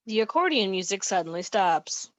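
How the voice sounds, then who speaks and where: A woman's voice: mid-range voice, big pitch swings, moderate pace, dry recording, close to an everyday voice. A woman acting as the plain-spoken narrator of an amateur comic radio play, reading stage directions on a lo-fi recording. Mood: matter-of-fact.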